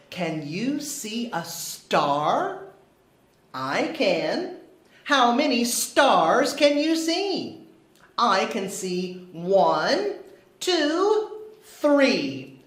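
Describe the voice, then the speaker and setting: A woman teacher leading a story time for young children, asking simple questions in a sing-song, carefully enunciated way. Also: medium pitch. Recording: roomy echo.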